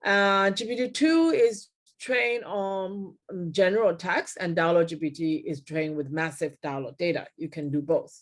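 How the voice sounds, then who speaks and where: A woman's voice: fairly low pitch, normal speed. Middle-aged woman lecturing with many fillers. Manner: frequent fillers and self-corrections. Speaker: keynote speaker. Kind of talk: technical lecture over slides. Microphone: webcam at desk, video call.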